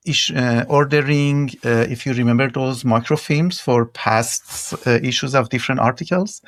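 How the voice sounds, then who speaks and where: A man's voice: mid-range voice, moderate pace, no echo, studio quality. A middle-aged man from Iran with an Iranian accent, telling stories conversationally as an interview guest. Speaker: podcast guest, tech entrepreneur.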